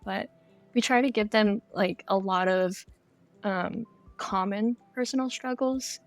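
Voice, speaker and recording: A woman's voice: mid-range voice, unhurried, dry recording, faint music behind. A woman speaking as a guest game developer in a casual podcast interview, explaining things conversationally.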